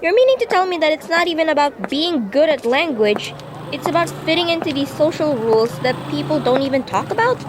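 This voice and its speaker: A woman acts as a skeptical female classmate in a radio drama. Her high voice is informal and questioning, with blunt casual phrasing. Clear outdoor city noise and vehicles run behind her. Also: lively intonation, brisk. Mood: incredulous. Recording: noisy street ambience with vehicles. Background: outdoor urban noise, vehicles.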